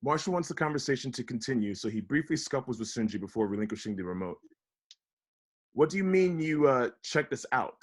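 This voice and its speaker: A man reading a comedy script, both as an incredulous young roommate and as the dry, wry reader of stage directions, with a husky voice. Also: mid-range voice, expressive, a little fast. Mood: disbelieving. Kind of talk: table read of comedy script, with narrated directions. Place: at home, remote table read.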